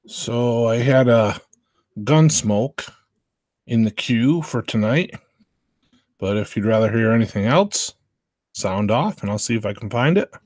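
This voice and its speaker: Slow-talking man hosting casually, dry sound. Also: mid-range voice, lively intonation, darkish tone, no echo. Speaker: host streaming classic radio shows. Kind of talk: casual live stream chat.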